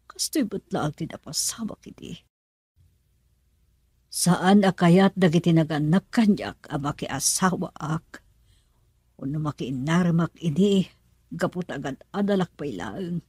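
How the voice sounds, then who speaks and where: A sorrowful young mother in a radio drama. Her voice is mellow and noticeably husky, at an ordinary pitch, recorded close and dry. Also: lively intonation, a little slow, long pauses, dry recording, heavily acted. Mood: sorrowful, confiding.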